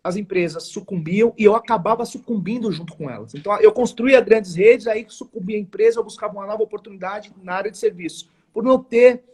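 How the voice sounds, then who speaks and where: A man's voice: high-pitched, fast, few pauses, a little mellow, breathy edge. A man in his forties from São Paulo with a São Paulo accent, telling his story as an interview guest in an earnest, emphatic way with formal phrasing and frequent restarts. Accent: São Paulo Portuguese. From São Paulo, Brazil. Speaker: guest, network marketing entrepreneur.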